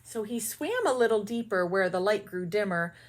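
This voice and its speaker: A woman teacher reading a children's picture book aloud with expressive storytelling and quick, quiet asides. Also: medium pitch.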